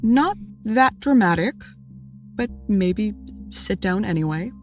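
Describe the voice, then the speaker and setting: A woman's voice: medium pitch, lively intonation, slow pace, darkish tone, soft background music. A woman playing a level-headed, dry-witted co-investigator in an audio drama. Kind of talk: audio drama dialogue, conversational.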